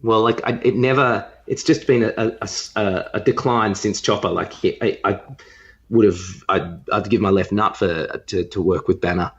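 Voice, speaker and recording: A man's voice: lowish voice, steady tone, normal speed, dull audio. A man co-hosting a podcast in casual, rambling chat, giving his opinions freely. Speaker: podcast co-host. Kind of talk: casual film review chat.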